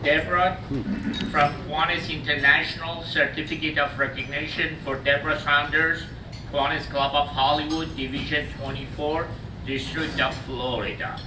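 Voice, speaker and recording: A man presenting club awards at a gala, reading the certificates aloud in a formal, measured way. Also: fairly high pitch, flat delivery. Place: large banquet hall. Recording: echoey hall, far-off mic, background noise.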